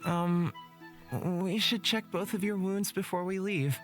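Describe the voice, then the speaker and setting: A man acts a gentle, meek young guide from a fantasy game. He talks slowly and hesitantly in a soft-spoken way, with faint music underneath. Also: high-pitched, steady tone.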